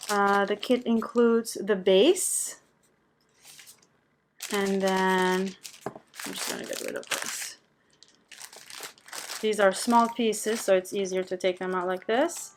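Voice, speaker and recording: A woman's voice: mid-range voice, a little hiss or hum. Chatty woman hosting a craft livestream. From Albania. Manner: friendly, chatty greetings to viewers. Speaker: host of a craft tutorial livestream. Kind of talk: casual chatty live craft demonstration.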